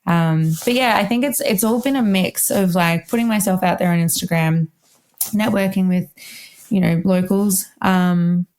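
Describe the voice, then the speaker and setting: A woman's voice: lowish voice, normal speed, a little mellow. A young woman with an Australian accent, chatting upbeat and casually as an interview guest, with lots of "like" fillers. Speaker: guest, wedding violinist.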